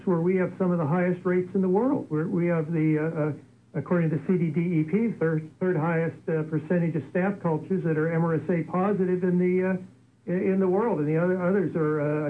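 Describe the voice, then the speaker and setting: Male studio doctor, halting delivery, tinny broadcast audio. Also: a little high. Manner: halting, many fillers. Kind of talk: adding to a radio discussion. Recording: lo-fi radio broadcast with faint noise.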